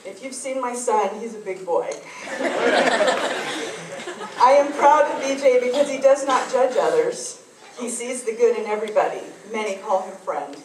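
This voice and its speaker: A middle-aged woman giving a proud, measured speech about her son at a school assembly. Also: on the high side, animated, heavy background noise. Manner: proud, measured, reads a list of praise. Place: large school assembly hall. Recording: echoey gym recorded from far off.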